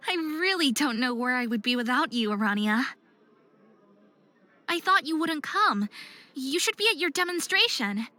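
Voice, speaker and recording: A woman's voice: high-pitched, expressive, moderate pace, pauses often, pushed and bright. A woman voicing an anxious but determined young female figure skater in a visual novel game, given to self-doubt and pep talks.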